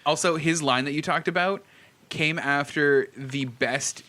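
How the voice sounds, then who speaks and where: A man co-hosting a podcast, chatting casually about films in an animated, slangy, exclamatory way. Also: on the high side, measured pace. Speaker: podcast co-host.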